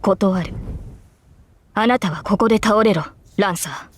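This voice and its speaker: A fearless young lady knight, voiced by a woman for a visual novel. Her voice is mid-pitched and brisk, with formal, stern phrasing and firm defiance. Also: slight background noise, lightly acted. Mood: resolute. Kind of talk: Japanese visual novel voice acting.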